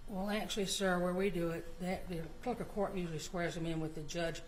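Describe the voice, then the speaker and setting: A woman's voice: on the low side, fairly even intonation, brisk, a little hiss or hum. A woman testifying as a court clerk, answering politely and matter-of-factly. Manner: polite, answers "yes, sir", matter-of-fact. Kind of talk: witness testimony. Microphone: courtroom microphone.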